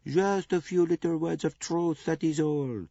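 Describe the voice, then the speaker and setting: A man voicing a fastidious older Belgian detective who speaks softly and precisely. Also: medium pitch, big pitch swings, creaky, husky, no room sound, pitched above the performer's own voice, exaggerated voice. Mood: calm. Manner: soft, precise, coaxing. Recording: dull lo-fi recording.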